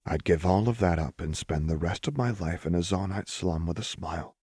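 An earnest young soldier woman, voiced by a male audiobook reader. The voice is soft and husky, full of tender devotion. It sits close and very dry. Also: low voice, normal speed, no room sound. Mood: resolute, solemn. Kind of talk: audiobook character dialogue.

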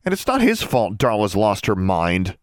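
Defiant, hot-tempered schoolgirl played by a male narrator, raised pitch, confrontational, animated. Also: fairly quick, no room sound, studio quality, lightly acted. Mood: angry. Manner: snappy, confrontational.

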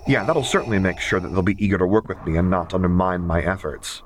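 A man voicing a wry, warm, stubborn young male engineer in an audiobook, casual and sardonic. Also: deep voice, fast, close to an everyday voice. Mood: wry, resigned. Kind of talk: audiobook character voice.

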